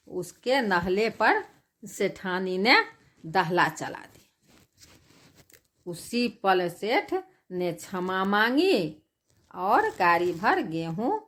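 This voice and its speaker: Very slow woman storyteller. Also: on the low side, fairly bright tone. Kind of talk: folk tale narration for animation.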